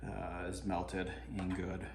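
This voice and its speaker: A man's voice: deep voice, monotone, slow pace, vocal fry, echoey room, background noise. A man chatting casually as he cooks in his home kitchen, laid-back and swearing now and then. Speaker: home cook vlogger. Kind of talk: casual cooking narration.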